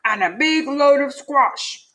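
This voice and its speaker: A woman voicing a scruffy, nasty-tempered male varmint, gruff and bossy, in a children's storybook. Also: sits high, animated, takes it slowly, a bit bright, pitched above the performer's own voice, heavily acted. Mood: barking orders.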